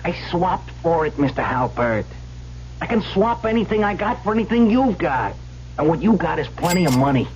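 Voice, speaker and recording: A man's voice: a little high, sing-song, normal speed. An actor playing a pushy, streetwise young man making a hard sell in a 1970s radio drama. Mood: insistent, hustling. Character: pushy young male hustler, hard-boiled and insistent. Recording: lo-fi old radio broadcast, faint noise.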